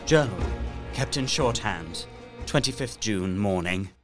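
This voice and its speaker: An actor playing a desperate, haunted young Victorian gentleman trapped in a castle, narrating his journal with intense, deliberate, formal delivery in a gothic audio drama. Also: fairly low pitch, expressive. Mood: desperate, resolute. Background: music.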